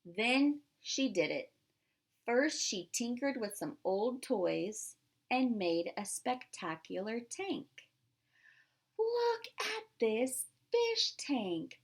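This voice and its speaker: Expressive woman reading aloud, slow and lively. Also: medium pitch. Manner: expressive storytelling, emphasizes words, asks children questions. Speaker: pre-K teacher. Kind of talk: read-aloud to young children with explanations and questions.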